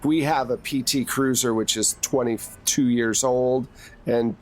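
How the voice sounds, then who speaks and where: A man's voice: medium pitch, fairly even intonation, a little slow, darkish tone, soft background music. A man acting as game master in a tabletop role-playing game, prompting players conversationally with questions and rulings.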